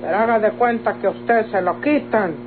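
An actress playing a simple, rueful young Mexican country daughter, folksy and resigned, in old radionovela style. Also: female voice, medium pitch, normal speed, lightly acted. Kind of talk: golden-age Mexican radionovela acting. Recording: tinny old radio recording, humming noise.

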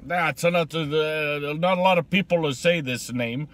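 A man voicing an older immigrant grandfather on a comedic prank call, confused and crude, in halting, repetitive broken English. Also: on the high side, fairly bright tone. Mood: halting, earnest. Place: inside a parked car.

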